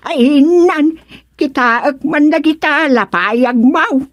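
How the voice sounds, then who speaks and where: Woman playing a teasing village gossip, ilocano accent, high voice, slow talk, dry sound. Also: no echo. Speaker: village woman in radio comedy. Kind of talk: Ilocano radio drama acting.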